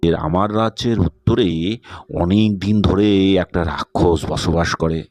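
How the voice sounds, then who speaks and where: A man's voice: low-pitched, slow, a little mellow, dry recording. A man voicing a worried old king in a cartoon, pleading for help. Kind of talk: cartoon voice acting.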